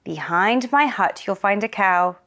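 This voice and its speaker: A woman's voice: mid-range voice, expressive, measured pace, a bit bright. A woman voicing a helpful old man of the forest in a children's puppet show, giving simple instructions.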